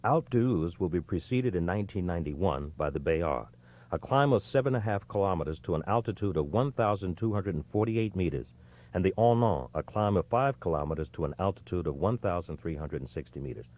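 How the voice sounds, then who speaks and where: Deep-voiced man narrating an audiobook. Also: expressive, brisk, a little mellow. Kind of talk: scripted nonfiction narration. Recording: slightly dull, lo-fi audio.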